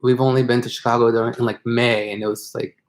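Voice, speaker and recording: A man's voice: medium pitch, level tone, quick pace, roomy sound, a little hiss or hum. A man chatting casually on a live stream, reading out viewer questions.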